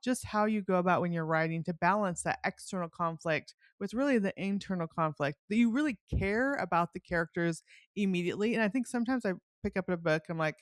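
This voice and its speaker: A woman's voice: lowish voice, quick pace, dry recording. Chatty woman podcast host, clean close sound. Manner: enthusiastic, chatty, rambling with self-interruptions. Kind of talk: casual book interview.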